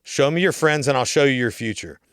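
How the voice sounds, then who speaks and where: A man's voice: mid-range voice, fairly quick, fairly bright tone, clean tone, dry recording, clean studio sound. A man in a casual podcast chat, talking in a slangy, emphatic way and often checking that the listener follows. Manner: slangy, emphatic, often checks with "you know what I'm saying". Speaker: podcast guest or co-host talking about real estate work.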